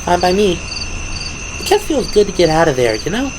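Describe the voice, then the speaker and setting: A man voicing wry, plainspoken young women police operatives in an audio drama, with expressive delivery. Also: sits high, normal speed, very echoey, recorded from a distance, pitched above the performer's own voice. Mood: relieved. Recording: noisy background with night insects.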